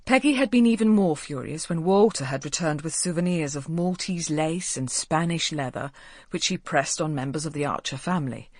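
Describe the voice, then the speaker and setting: A woman's voice: low voice, darkish tone, no room sound, clean studio sound. A woman reading scripted story narration as an audiobook narrator.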